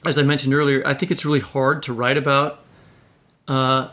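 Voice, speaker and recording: A man's voice: medium pitch, level tone, normal speed, fairly bright tone. A man hosting a conversational literary interview, asking long, emphatic questions. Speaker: podcast interviewer, host.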